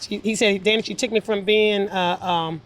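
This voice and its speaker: An older woman telling personal stories casually as an interview guest, chatty and animated as she acts out what people said. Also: medium pitch, normal speed, slight background noise. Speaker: interview guest, former air traffic controller. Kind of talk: casual anecdotal storytelling.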